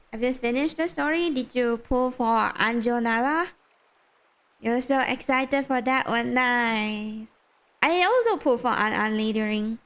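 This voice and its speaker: A woman's voice: a little high, fairly bright tone. A young woman with a Malaysian accent, streaming a game and chatting casually with her viewers while reading game text aloud.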